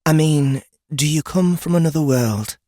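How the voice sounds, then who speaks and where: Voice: mid-range voice, normal speed, mellow, no echo, studio quality, pitched above the performer's own voice, close to an everyday voice. A narrator voicing a brash, mocking, experienced woodswoman in a fantasy audiobook, blunt and amused. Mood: curious, wry.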